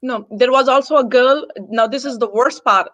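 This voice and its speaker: Higher-voiced woman from Denmark. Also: moderate pace, a bit bright, no echo. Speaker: interview guest. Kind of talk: personal account in casual interview.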